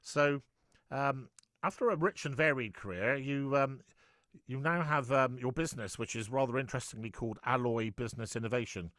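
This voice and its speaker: A man's voice: medium pitch, moderate pace, a bit bright, dry recording, clean studio sound. A middle-aged man hosting an interview, chatty and rambling with frequent stumbles and restarts. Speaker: host. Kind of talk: casual interview chat.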